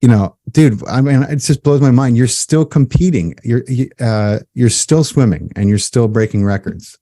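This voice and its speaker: A man's voice: mid-range voice, normal speed, warm tone, dry recording, studio quality. A man hosting a podcast in casual chat, laid-back and slangy as he introduces and questions his guest. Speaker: podcast host.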